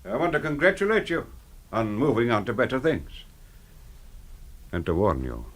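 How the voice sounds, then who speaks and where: An actor playing a cold, menacing older master of a house in a radio drama, speaking in a measured, formal, condescending way. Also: male voice, medium pitch, wildly swooping pitch. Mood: quiet menace.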